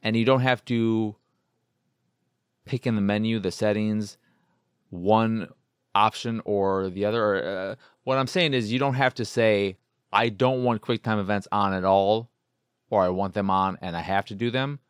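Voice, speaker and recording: A man's voice: on the low side, steady tone, unhurried, clear, resonant tone, no echo, clean studio sound. A man hosting a podcast, talking casually on his own as he reviews games, rambling and circling back to rephrase his points. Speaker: podcast host. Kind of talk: casual solo video game review talk.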